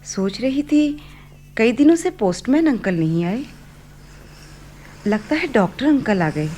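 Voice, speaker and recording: Expressive, girlish young daughter. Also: fairly high pitch, a little slow. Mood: subdued. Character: fragile, sulky young ailing daughter, shy. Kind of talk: radio play acting.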